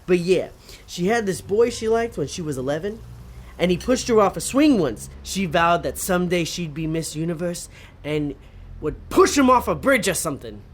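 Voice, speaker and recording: A man's voice: high-pitched, very animated, normal speed. A man playing an earnest, sentimental demon sidekick in an audio comedy drama, sincere and slightly pedantic. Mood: sincere, sheepish.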